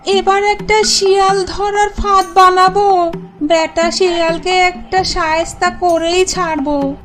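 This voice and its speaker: A woman's voice: extremely high pitch, little pitch movement, slow, faint music behind. A woman playing a feisty, scolding old village woman in a children's cartoon. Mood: determined. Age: older. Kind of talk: cartoon character acting.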